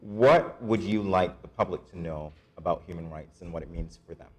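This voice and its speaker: A man hosting a morning show, reading his lines in a measured, scripted way before turning to a guest. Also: deep voice.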